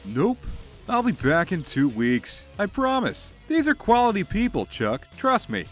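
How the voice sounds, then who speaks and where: A man's voice: high voice, wildly swooping pitch, measured pace, soft background music, voice pushed up, heavily acted. A man voicing a cocky, flippant martial arts movie star in a comic dub. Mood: breezy, cocky. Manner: flippant, self-assured.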